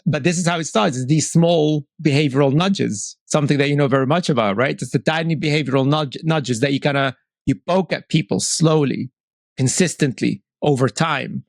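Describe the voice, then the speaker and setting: A man's voice: fairly high pitch, moderate pace, gravelly, no echo, studio quality. A man hosting a podcast interview, speaking bluntly and with animation, often repeating words as he restarts sentences. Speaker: podcast host. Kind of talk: casual interview talk.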